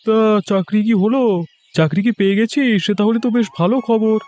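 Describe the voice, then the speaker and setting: Man acting a high-pitched, chummy young friend. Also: brisk, mellow, close to an everyday voice. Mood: curious, teasing. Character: chummy, inquisitive young male village friend. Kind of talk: cartoon dialogue, moral story.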